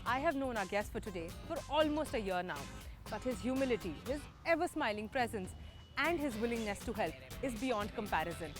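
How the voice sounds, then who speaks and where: Brief: female talk-show host, fairly high voice, measured formal delivery, music bed underneath. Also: animated. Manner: polished, measured, formal phrasing. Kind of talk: scripted show intro and interview questions.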